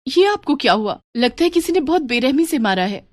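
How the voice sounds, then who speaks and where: A woman voicing a caring, devout poor wife in a cartoon moral story, speaking gently and with concern. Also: high voice, big pitch swings, a little fast, no room sound, clean studio sound, pitched above the performer's own voice. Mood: worried.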